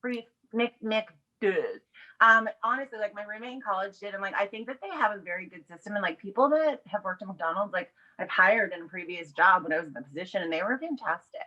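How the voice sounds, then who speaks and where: Brash woman with a bright voice. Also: medium pitch, fairly quick, lo-fi sound. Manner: brash, playful, foul-mouthed. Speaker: podcast guest. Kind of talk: casual candid banter.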